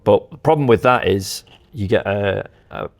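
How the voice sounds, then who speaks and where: A man's voice: fairly low pitch, lively intonation, normal speed, vocal fry. A man giving a step-by-step technical explanation as a company representative presenting audio products.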